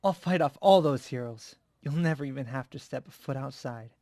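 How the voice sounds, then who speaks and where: Protective half-dragon partner, played by a woman: very deep for a woman, dark, earnest murmur, close and dry. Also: a little fast, no echo. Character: protective lover, part dragon, low and loyal. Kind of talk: fan fiction character voice.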